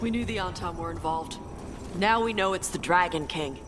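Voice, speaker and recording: An actress playing a stern, battle-hardened dragon slayer, fierce and resolute, speaking in grave, emphatic short phrases. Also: female voice, fairly low pitch, moderate pace, over music, natural voice. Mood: angry resolve. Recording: clear background game noise.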